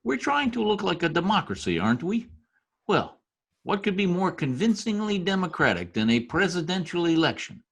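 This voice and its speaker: A man's voice: high-pitched, sing-song, normal speed, a bit bright, slightly rough, full character voice. A man playing a deferential, persuasive political adviser who schemes for a dictator in an old-time radio comedy. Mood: suggestive, coaxing. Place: home room, recorded over video call.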